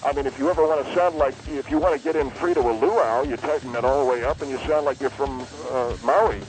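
A man's voice: mid-range voice, a little mellow, faint music behind, natural voice, low-quality recording. A man doing a rambling gadget salesman. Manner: halting, full of ums and uhs.

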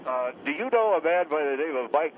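A man's voice: fairly high pitch, expressive, brisk, natural voice. A man playing an irascible, condescending scientist who harangues, goads and insults on a comic radio phone-in. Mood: exasperated ranting. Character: irascible, condescending male scientist, radio talk-show guest. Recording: tinny, noisy phone line.

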